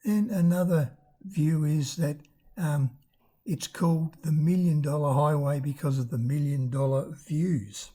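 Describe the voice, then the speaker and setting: An older travel vlogger with a raspy voice, narrating a road trip in a chatty, unhurried way, pausing now and then to search for words. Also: mid-range voice, fairly even intonation, dark tone. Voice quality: raspy from damaged vocal cords.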